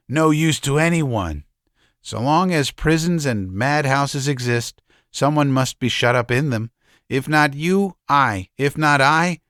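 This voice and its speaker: A man voicing a gentle, guilty middle-aged doctor who speaks softly and stammers. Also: a little high, smooth, no room sound, clean studio sound. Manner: soft-spoken, stammering.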